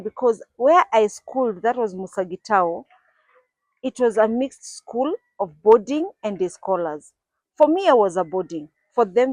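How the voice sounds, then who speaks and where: A woman's voice: a little high, no room sound, poor audio. Kenyan woman, 40s, reflective vlogger recounting her childhood, dry and close. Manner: earnest, reflective, with occasional restarts. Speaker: YouTuber telling her life story. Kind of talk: personal storytelling to camera.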